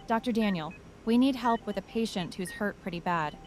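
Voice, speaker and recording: A woman's voice: medium pitch, fairly quick, a little hiss or hum, lightly acted. A woman playing a polite, concerned hospital nursing sister in a horror audio drama, with a deferential manner. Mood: worried.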